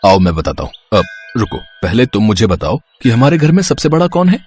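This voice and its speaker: A man's voice: on the low side, big pitch swings, fairly quick, a little mellow, breathy edge, soft background music. A man playing a doting, patient father of a small boy in a moral story. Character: affectionate father who dodges his son's questions.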